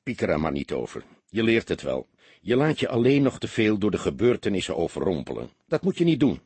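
A man voicing a seasoned, laconic police inspector who lectures his young partner calmly and a little gruffly, with a husky voice. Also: lowish voice, brisk, no room sound, heavily acted. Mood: composed.

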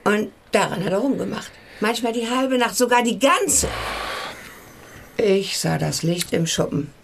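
An actress playing a blunt, dismissive village widow who talks curtly and colloquially in a radio play. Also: female voice, mid-range voice, lively intonation, a little slow, vocal fry, noisy background. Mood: grumbling. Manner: curt, colloquial, disparaging. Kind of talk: German radio play acting.

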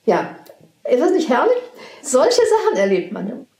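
A very old woman, a poet, telling anecdotes from her past in animated, casual conversation. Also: high voice, unhurried, vocal fry. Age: 80s or older. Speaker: poet recalling her career. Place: indoors in a room. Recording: roomy echo with music.

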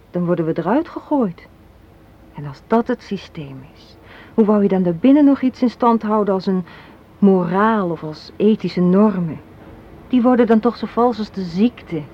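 A woman's voice: medium pitch, lightly acted. An actress playing a hard-minded, cynical young woman in a Dutch radio play, arguing and lecturing with rhetorical questions. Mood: bitter, insistent. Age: young adult. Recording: dull, noisy old radio recording.

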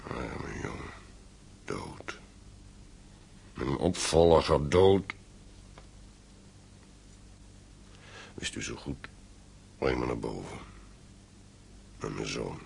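An actor playing a middle-aged gentleman in a radio play, an anxious, gruff father with a weary, urgent manner. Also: a bass voice, animated, takes it slowly, long pauses, slight background noise, heavily acted. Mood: stunned grief.

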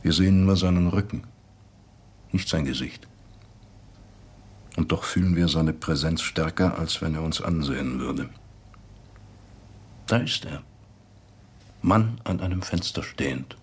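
An actor in a radio drama playing an evasive, philosophical interviewee who speaks cryptically and measuredly in a husky, gravelly voice. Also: male voice, sits low, exaggerated voice. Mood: solemn, intent. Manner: cryptic, measured, answers with questions. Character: evasive, philosophical man interviewed about someone's death.

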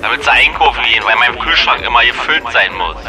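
A man's voice: medium pitch, expressive, fast, crowd noise. A man doing colloquial, mock-serious comic banter on a radio show. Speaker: radio talk show participant. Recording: radio broadcast with music underneath.

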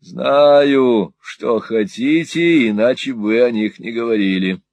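A man's voice: mid-range voice, slow pace, darkish tone, no echo, pitched above the performer's own voice. A man voicing a cold, terse older male moneylender who speaks in dry, cutting remarks in an audiobook reading.

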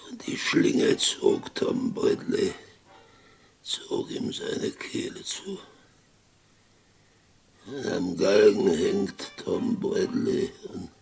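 An actor playing a guilt-tormented Western sheriff in a 1960s radio play, halting and anguished, with a breathy, gravelly voice that often drops into whispers. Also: male voice, lowish voice, huge pitch swings, darkish tone, full character voice. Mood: haunted, tormented. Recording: 1960s radio drama with music underneath.

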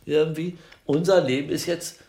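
An actor playing a plain-minded, defensive husband in an improvised radio comedy, talking in halting, colloquial bursts. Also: male voice, fairly high pitch, steady tone, a little mellow, natural voice. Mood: halting, muddled. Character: plain-minded husband who finds life simple, defensive.

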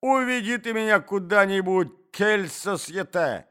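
A man's voice: high voice, expressive, a little slow, pushed and bright, smooth, voice pushed up, heavily acted. A man voicing a frail, forgetful old prince who talks in a muddled, bewildered way.

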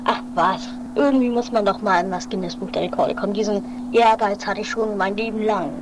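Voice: medium pitch, brisk, close to an everyday voice. A performer playing a stubborn, fatalistic male daredevil in an improvised amateur comedy radio play, casual and resigned. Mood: resolute, resigned. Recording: noisy 1980s amateur recording.

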